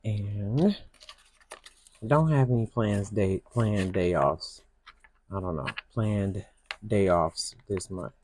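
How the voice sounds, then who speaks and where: A slow soft-spoken Southern woman. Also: rumbling low, a little hiss or hum. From Michigan. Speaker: planner vlogger. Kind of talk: casual narration while decorating a planner.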